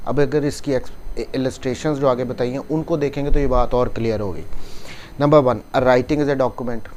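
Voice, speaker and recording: A man's voice: medium pitch, fairly even intonation, normal speed, a little mellow. A man with a Pakistani accent giving an explanatory law lecture in a didactic way, repeating and rephrasing his points. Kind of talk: explanatory lecture, reading English clauses and explaining in Urdu. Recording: noticeable background noise.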